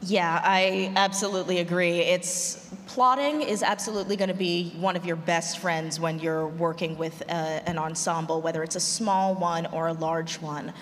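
Female panelist, slightly low register, considered delivery to an audience, noisy live-hall recording. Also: steady tone, moderate pace, few pauses. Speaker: panelist, audio drama creator and actor. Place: large room at a convention panel. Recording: echoey hall, clear background noise.

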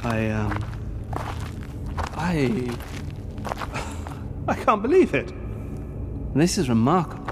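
An actor playing an awestruck, pedantic explorer professor, halting and scholarly, in an audio drama. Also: male voice, mid-range voice, huge pitch swings, background noise. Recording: reverberant drama scene with music underneath.

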